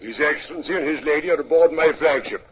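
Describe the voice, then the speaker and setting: An actor playing a hearty, commanding British admiral with clipped, jovial delivery in a 1950s radio drama. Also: male voice, fairly high pitch, fast talker, crowd noise, close to an everyday voice. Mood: bluff, genial. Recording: dull, noisy 1950s radio recording.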